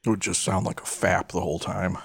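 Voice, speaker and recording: Low, gravelly man, laid-back and slangy. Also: level tone, fairly quick, no room sound, clean studio sound. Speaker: podcast co-host. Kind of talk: casual pop-culture chat.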